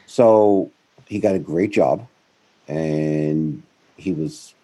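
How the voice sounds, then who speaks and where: A man's voice: rumbling low, very slow, slight background noise. A middle-aged man, a guest in an interview, telling his career story in a rambling way, correcting himself as he goes. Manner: rambling, self-correcting, animated when quoting others. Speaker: guest, founder of a financial firm.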